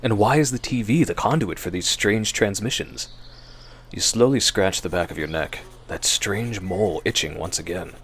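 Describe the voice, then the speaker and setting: A man's voice: fairly low pitch, moderate pace, fairly bright tone, slight background noise. A man delivering suspenseful, theatrical scripted narration as the storyteller of a horror audio drama.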